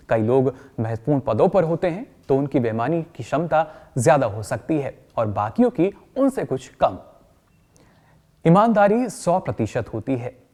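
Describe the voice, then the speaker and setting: An encouraging male trainer played by an actor leads a workshop. His pitch moves in a lively way as he patiently explains points and puts questions to the group, in the manner of a training film. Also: close to an everyday voice. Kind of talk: scripted training-session lecture with questions to the group.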